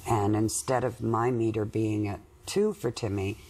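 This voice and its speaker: A woman in her fifties speaks in a candid, conversational way. Her voice is husky with a gravelly creak, and she leans on phrases for emphasis, sometimes repeating them. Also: very deep voice, fairly even intonation, unhurried, darkish tone. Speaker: client in couples therapy interview. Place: small indoor TV studio living-room set.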